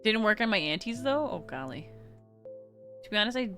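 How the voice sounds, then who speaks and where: A woman's voice: mid-range voice, big pitch swings, brisk, a bit bright, soft background music. A woman with an American West Coast accent, chatting casually with her viewers on a game stream, giggling and rambling with many restarts. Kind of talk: casual chat reading live chat during a game stream.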